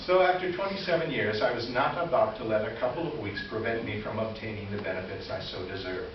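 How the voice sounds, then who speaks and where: A man playing an earnest, wronged store employee near retirement, reading a formal confessional letter in reader's theater with deliberate delivery. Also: on the low side, close to an everyday voice. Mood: earnest, aggrieved. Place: indoor live stage presentation. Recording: echoey room, distant mic, muffled, noisy.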